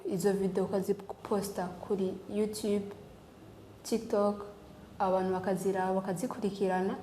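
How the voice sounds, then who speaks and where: A woman's voice: mid-range voice, mellow, echoey room, a little hiss or hum. A thirteen-year-old Burundian girl introducing herself and a tutorial as a guest demonstrator. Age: 13 years old.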